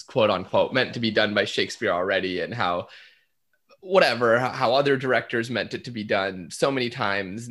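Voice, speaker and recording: A man's voice: lowish voice, fairly bright tone. Informal, enthusiastic young man. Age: young adult. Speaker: actor on a panel. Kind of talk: casual panel discussion.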